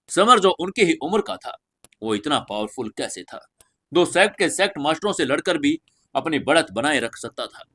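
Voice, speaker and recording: A man's voice: medium pitch, sharp tone. A man reading a scripted fantasy audio story as narrator, with emphatic, dramatic storytelling delivery.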